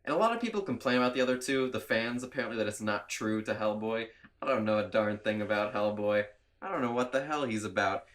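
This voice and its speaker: A man's voice: lowish voice, fast talker, a bit bright. Chatty man with a cold. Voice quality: nasal. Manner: chatty, laughs often, rambling. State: has a cold. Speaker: podcast host. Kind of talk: casual chat.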